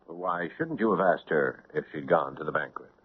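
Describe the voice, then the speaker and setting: A hard-bitten radio-drama lieutenant with a deep, husky voice, short-tempered and dry with his sarcasm. The sound is thin and tinny, like an old broadcast. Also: expressive, moderate pace, heavily acted. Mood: brusque. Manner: brusque, sarcastic. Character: blunt impatient police lieutenant, man. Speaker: police lieutenant. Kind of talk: 1970s radio drama acting.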